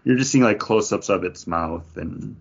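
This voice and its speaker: Male co-host, a little low, easygoing casual discussion with lots of "like", dull lo-fi recording. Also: normal speed, gravelly, husky. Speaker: podcast co-host.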